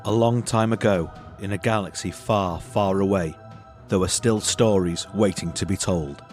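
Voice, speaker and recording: A man's voice: deep voice, moderate pace, a little mellow, soft background music. A man reading a scripted trailer as a promo narrator.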